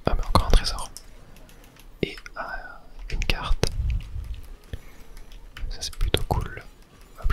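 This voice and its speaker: Male gaming host, soothing unhurried ASMR delivery, whispered throughout. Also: poor audio. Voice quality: breathy unvoiced whisper. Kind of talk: whispered ASMR gameplay commentary.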